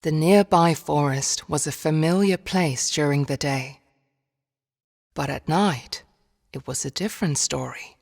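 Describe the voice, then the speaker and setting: A woman narrating a dark fairy tale for a video game in a measured, solemn storytelling manner. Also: low voice, creaky. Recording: long reverberant hall-like echo.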